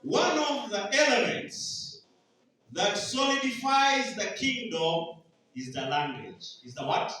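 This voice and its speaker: Ugandan preacher in an echoey hall. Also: male voice, very high-pitched, animated, slow, sharp tone, recorded from a distance. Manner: call-and-response questions to the congregation. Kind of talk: sermon.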